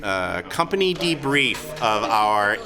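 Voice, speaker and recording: A man hosting a live event as game master, talking off the cuff in a halting way and often repeating words. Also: lowish voice, big pitch swings, music in the background. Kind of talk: unscripted talk to a live audience. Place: busy gaming convention. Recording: live convention hall, heavy crowd noise.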